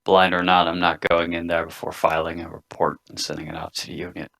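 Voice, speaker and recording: Man, low-pitched voice, halting, grumbling, tabletop roleplay, casual discussion. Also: little pitch movement, gravelly, fairly bright tone.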